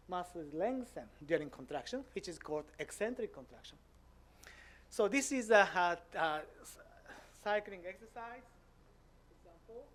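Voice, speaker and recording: A man in his fifties from Japan, speaking English with a Japanese accent, giving a conference lecture with many "uh" pauses. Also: high-pitched. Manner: hesitant, frequent "uh" fillers, simple non-native grammar. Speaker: visiting professor giving a lecture.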